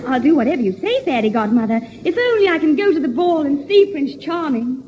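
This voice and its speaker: A woman's voice: sits high, sing-song, a little fast, lo-fi sound, a crowd in the background. A woman playing a sweet, downtrodden young pantomime heroine in an earnest, plaintive manner. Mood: hopeful. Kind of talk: 1960s radio pantomime comedy. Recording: noisy 1960s radio, audience behind.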